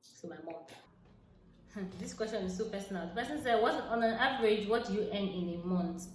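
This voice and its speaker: A woman's voice: medium pitch, a little fast. Brief: woman, 20s, Nigerian accent, casual vlogger, laughs and drops into asides; roomy echo, light hiss. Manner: chatty, laughs often, drifts into soft asides. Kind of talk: casual Q&A chat to camera. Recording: echoey room with faint noise.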